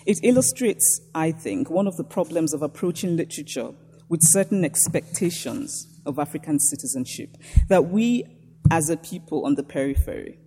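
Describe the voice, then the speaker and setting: A Nigerian woman lecturing in a measured, reflective way. Her voice is mellow and a little husky. It carries the long reverb of a large hall. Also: low-pitched. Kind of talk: reading a prepared lecture.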